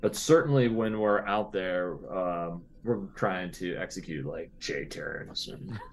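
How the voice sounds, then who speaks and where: Low-voiced man musing playfully, noisy room. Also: slow. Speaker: tabletop game player. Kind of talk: casual table chat.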